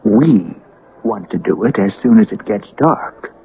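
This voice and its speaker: An actor in an old-time radio drama playing a scheming, persuasive small-town councilman who pauses as he plots. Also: fairly low pitch, expressive, creaky. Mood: calm, scheming. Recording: tinny, noisy 1980 radio broadcast recording.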